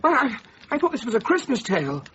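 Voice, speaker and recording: An eager, polite stranger. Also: male voice, very high-pitched, very animated, quick pace, bright, forceful tone, a little hiss or hum, full character voice. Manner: polite, eager and inquisitive, stammering a little. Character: polite, curious visiting stranger. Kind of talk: BBC radio play acting. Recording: slightly dull radio broadcast.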